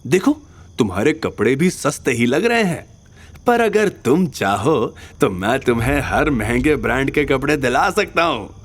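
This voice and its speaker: A man's voice: mid-range voice, big pitch swings, a little slow, slightly rough. A man playing a rich, arrogant, lecherous villain in an audio drama, leering and condescending with a short temper. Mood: leering, smug. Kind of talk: Hindi audio drama dialogue. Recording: faint music behind.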